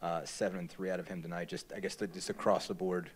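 A man's voice: deep voice, little pitch movement, fast. A man, a reporter, asking long, hedging questions at a press conference.

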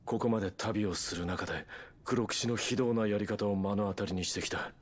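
A man's voice: fairly low pitch, fairly quick, a little hiss or hum, lightly acted. A man playing a stoic, determined young noble warrior in a Japanese video game, speaking with restrained, terse resolve. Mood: grave resolve.

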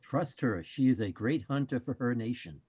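A man acting a wise, patient panda bear in a play reading, measured and instructive. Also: mid-range voice, lively intonation, mellow, slight background noise, natural voice. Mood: reassuring.

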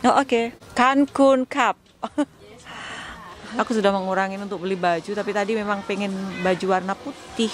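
A woman's voice: mid-range voice, steady tone, a little slow. A woman in her thirties with an Indonesian accent, chatting casually as a vlogger, slangy and exclaiming, mixing in English words. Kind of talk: casual shopping vlog chat. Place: indoor clothing shops in a busy mall.

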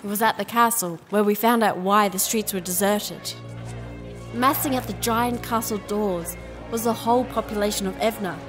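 A woman's voice: mid-range voice, normal speed, over music, natural voice. A woman playing an earnest, resolute young princess in an audio drama. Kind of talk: audio drama acting and narration.

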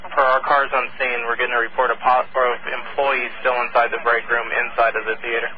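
Flat-toned male dispatcher on radio. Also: mid-range voice, fairly quick. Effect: police radio. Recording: tinny, noisy police scanner radio audio.

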